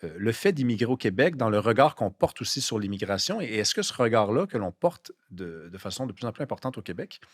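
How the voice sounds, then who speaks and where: A man's voice: on the low side, big pitch swings, fairly quick, few pauses, husky. A man with a Québécois French accent hosting a podcast interview, asking questions casually and informally with frequent hesitations. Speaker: podcast host. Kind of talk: conversational interview.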